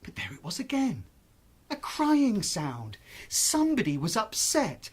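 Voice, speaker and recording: An expressive storyteller reading a bedtime tale for young children. The delivery is unhurried and dramatic, swinging widely in pitch to build suspense, with a slightly husky tone.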